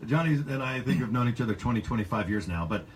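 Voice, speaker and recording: A man's voice: mid-range voice, animated, fast talker, a little hiss or hum. A man hosting a casual radio interview, talking in a rambling, chatty way with lots of fillers. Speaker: radio host.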